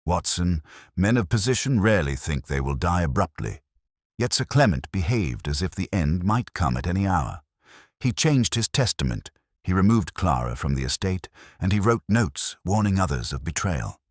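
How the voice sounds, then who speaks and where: Man playing composed Victorian detective, storybook reading, very low husky voice, precise, emphatic. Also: very animated, normal speed, no room sound, clean studio sound. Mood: probing, deliberate. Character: analytical, composed Victorian male detective, coolly reasoning.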